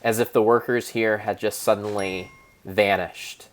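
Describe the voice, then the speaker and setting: A man narrating his own gameplay as a solemn, theatrical dramatic reading, with pauses and small slips. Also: lowish voice, fairly even intonation, a little hiss or hum, poor audio. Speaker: game streamer narrating play. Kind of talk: mock-dramatic storybook narration over gameplay.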